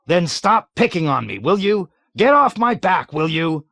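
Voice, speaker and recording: A man voicing a petulant, self-righteous male colonel who shouts in high, whiny bursts. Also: big pitch swings, unhurried, creaky, dry recording, studio quality, exaggerated voice. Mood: blustering wrath. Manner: shrill, whiny, shouting outbursts.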